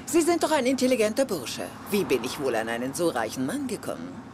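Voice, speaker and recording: A self-assured older lady, expressive. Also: sits low, a little fast, background noise. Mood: sly, flirtatious. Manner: polite, sly, formal. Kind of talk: German sitcom dub.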